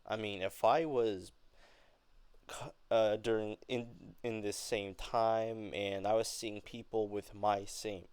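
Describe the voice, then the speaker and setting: Hesitant young man, smooth clear voice. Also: on the low side, steady tone, darkish tone, no echo. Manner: hesitant, halting, rephrases often. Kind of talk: informal explanation of an acting reading.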